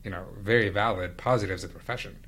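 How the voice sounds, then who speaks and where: A man who grew up in India, Venezuela and Canada, reflecting on his life as an interview guest in a measured, explanatory way. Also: lowish voice, a bit bright. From India and Venezuela, then Canada from age thirteen. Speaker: podcast guest, business executive. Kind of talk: conversational interview, reflective personal storytelling.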